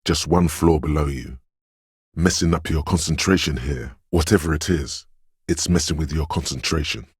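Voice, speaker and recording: An actor voicing the eerie, melancholic inner voice of a detective's intuition in a video game, husky, poetic and ominous. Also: male voice, very deep voice, a little mellow, dry recording, clean studio sound, heavily acted. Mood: ominous, uneasy. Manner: ominous, poetic, deliberate delivery. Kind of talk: video game voice acting, second-person dramatic narration.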